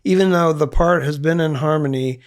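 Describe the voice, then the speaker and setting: A man's voice: a little high, normal speed. A man in his fifties, a band singer, talking casually in a studio interview with lots of fillers and restarts. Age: 50s. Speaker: guest musician, singer in a band. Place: recording studio.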